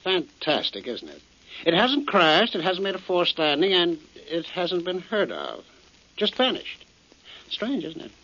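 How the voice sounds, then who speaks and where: An actor playing a smug, suave gangster who feigns innocence with mocking sarcasm, in 1940s radio drama style. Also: male voice, high voice, wildly swooping pitch, measured pace, pushed and bright, heavily acted. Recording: dull old radio recording.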